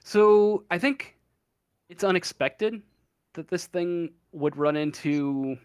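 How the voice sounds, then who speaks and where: A man running a tabletop horror game as game master, narrating with many pauses and fillers. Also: sits high, smooth, no room sound, studio quality. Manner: halting, many ums and uhs. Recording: online voice chat.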